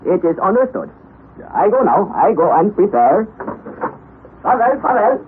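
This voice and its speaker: An actor playing a calm, burly Icelandic hunter and guide in an old-time radio drama, speaking in terse, deliberate, simple phrases. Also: male voice, high-pitched. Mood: firm. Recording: noisy, tinny vintage radio recording.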